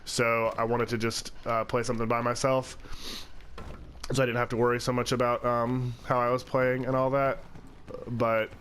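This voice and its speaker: A man with a blocked-up, cold-stuffed voice rambles casually while he plays. He stumbles and restarts often, tossing in fillers as he goes. His pitch sits in an ordinary middle range, and a clear hiss of noise runs under the whole recording. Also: little pitch movement, normal speed, fairly bright tone. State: congested from a cold, sniffling. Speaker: let's play channel host. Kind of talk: casual gameplay commentary.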